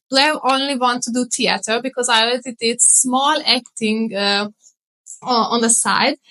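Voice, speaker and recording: This is a woman from Hungary with a strong Hungarian accent, probably in her thirties, speaking English as a guest on an interview show. Her voice sits slightly high and has a bright, forward edge. She talks with lively enthusiasm and laughs often. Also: low-quality recording. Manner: enthusiastic, animated, laughs easily. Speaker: guest, marketing mentor. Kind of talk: casual interview chat.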